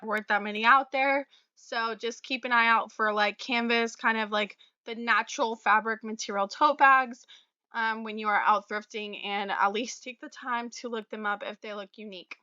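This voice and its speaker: A young woman vlogger, slightly raspy from a cold, chatting casually about her resale items with fillers and little laughs. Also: fairly high pitch, fairly even intonation, moderate pace, pushed and bright, no echo, muffled recording. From Florida. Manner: chatty, friendly, many fillers and small laughs. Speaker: reseller vlogger. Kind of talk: casual talk to camera about sales.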